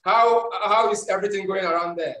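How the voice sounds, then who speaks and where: A man's voice: high-pitched, a little fast, fairly bright tone, roomy sound. A Nigerian man hosting an interview in casual chat, with many hesitant fillers and restarts. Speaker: host.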